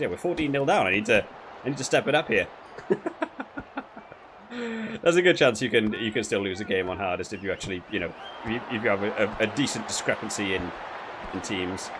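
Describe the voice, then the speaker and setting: A man giving casual, rambling commentary while playing a video game, often halting and repeating his words. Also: lowish voice, very animated, fairly bright tone, a little hiss or hum. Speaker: video game reviewer and player.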